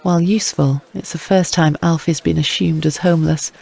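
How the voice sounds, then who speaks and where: Male narrator, scripted crime fiction storytelling, slightly high pitch, gravelly creak. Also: steady tone, brisk, darkish tone. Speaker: audiobook narrator.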